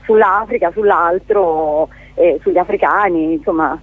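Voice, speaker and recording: A woman's voice: on the low side, unhurried, no room sound. A woman giving a spontaneous radio interview as a theatre guest, hesitant, often repeating words as she searches for the next one. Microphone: telephone call to a radio station. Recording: tinny, narrow phone line.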